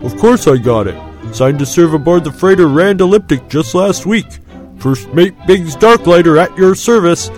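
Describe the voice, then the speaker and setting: A man's voice: mid-range voice, expressive, normal speed, few pauses, warm tone, soft background music, voice pushed up, natural voice. A man doing a smug young cadet. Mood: breezy. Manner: confident, near smug.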